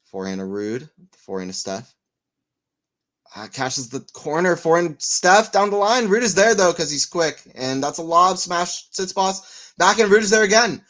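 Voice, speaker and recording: A man giving live tennis play-by-play on a stream, chatting with viewers and getting excited on big points. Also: high voice, moderate pace. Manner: excitable on rallies, rambling and self-mocking between points. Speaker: tennis watchalong commentator. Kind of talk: live play-by-play and casual chat.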